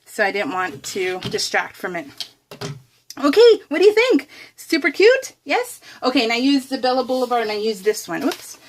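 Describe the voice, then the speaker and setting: Giggly, higher-voiced woman chatting while crafting. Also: sing-song, moderate pace, a little hiss or hum. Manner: giggly, self-deprecating, rambling. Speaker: craft vlogger. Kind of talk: casual chatty craft tutorial, narrated in real time.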